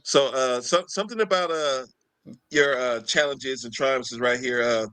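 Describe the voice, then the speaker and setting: A male podcast co-host with a bright, forceful voice. He works his way through long questions, circling back with fillers and repeated words. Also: medium pitch, clear, resonant tone. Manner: halting, many fillers and repeats. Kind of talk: interview questions.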